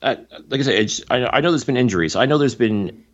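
A man's voice: medium pitch, lively intonation, fast, dull audio. A man from Montreal co-hosting a casual sports podcast, talking at length with opinionated, analytical takes on football. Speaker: podcast co-host.

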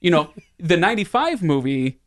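A man's voice: on the high side, sing-song, moderate pace, no echo, clean studio sound. A man talking casually about films as a podcast host, opinionated and repeating words for emphasis. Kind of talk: casual film discussion.

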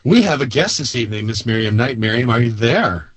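A man's voice: mid-range voice, big pitch swings, brisk, slightly rough, dry recording. A man hosting a paranormal radio talk show, chatty and given to laughing and rambling restarts. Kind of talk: casual radio chat.

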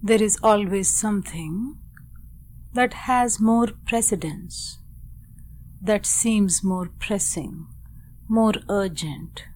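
Slow-paced woman guiding meditation. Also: medium pitch, darkish tone, slight background noise. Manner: measured, deliberate, short phrases with long pauses. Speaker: meditation guide. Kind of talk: guided meditation narration.

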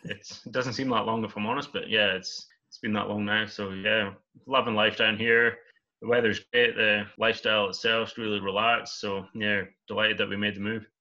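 Bright-voiced Scottish man on a dull line. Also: lowish voice, normal speed. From Scotland. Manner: relaxed, rambling, easygoing. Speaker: guest. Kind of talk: casual interview chat.

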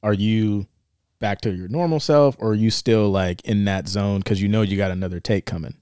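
Man, podcast co-host, lowish voice, clear, dry recording, easygoing questions. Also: normal speed, warm tone, dry recording. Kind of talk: casual podcast chat.

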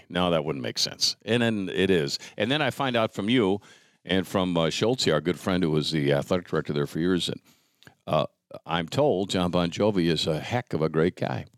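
A man's voice: deep voice, no room sound, studio quality. A middle-aged man chatting casually and telling stories in a rambling, self-deprecating way, breaking off mid-thought. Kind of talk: casual chat, storytelling.

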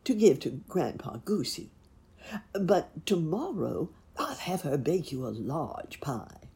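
A woman as kindly gentleman bunny, storybook, courteous, gentle, low, raspy. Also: big pitch swings, slow, exaggerated voice. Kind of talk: children's storybook character voice.

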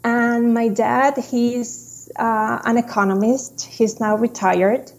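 Slow-speaking woman with gravelly tone. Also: fairly high pitch, level tone, a little mellow. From Chile. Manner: slow, halting, with frequent restarts. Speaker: guest, designer. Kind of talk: casual interview.

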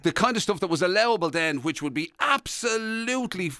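An Irish man in middle age co-hosts a casual chat show. His voice is bright and fairly high, with lively swings in pitch, recorded close and dry. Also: fairly quick, no echo. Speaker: radio show co-host. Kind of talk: casual podcast banter, steering the chat. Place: radio office studio.

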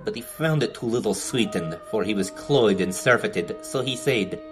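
A man's voice: fairly low pitch, expressive, moderate pace. A man narrating a scripted tale as a storyteller in an audio drama. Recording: music behind the voice.

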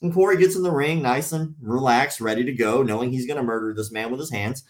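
A man's voice: mid-range voice, fast talker. A man in his late thirties, a podcast co-host and striking coach, telling stories in casual chat and acting out quotes. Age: 30s. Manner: animated storyteller, acts out quotes.

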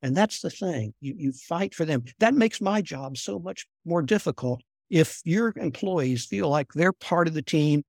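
An older man, a former intelligence officer, telling stories in a soft, unhurried voice as an interview guest. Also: a little high, animated, vocal fry, no echo, clean studio sound. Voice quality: soft-spoken. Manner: unhurried, repeats words when restarting. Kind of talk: conversational storytelling.

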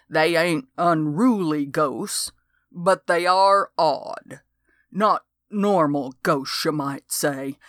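A woman voicing a suspicious, superstitious old country man with a slow drawl, for an audiobook. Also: a little high, sharp tone, dry recording, clean studio sound. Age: older. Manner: rural drawl, slow and conspiratorial.

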